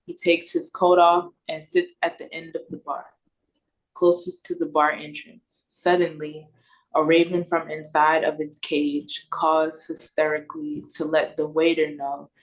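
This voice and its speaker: Low, unhurried American woman narrating. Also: flat delivery, poor audio. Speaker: narrator reading stage directions. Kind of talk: scripted narration, first read-through. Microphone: video call.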